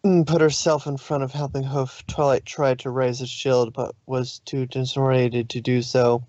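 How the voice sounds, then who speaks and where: A man's voice: medium pitch, flat delivery, moderate pace, darkish tone, no echo. Male fan fiction narrator, dry muffled sound. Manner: dramatic storytelling, stumbles over words.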